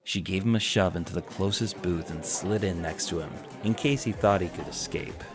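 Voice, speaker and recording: A man narrates a piece of fan fiction from a script. His voice is low, and music plays clearly behind him as he tells the story. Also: normal speed.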